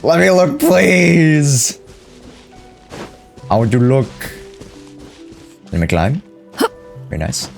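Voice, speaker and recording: A man's voice: fairly high pitch, big pitch swings, slow pace, vocal fry, long pauses, faint music behind. A man doing casual live reaction commentary as a video game streamer, talking to his chat in slangy, offhand phrases.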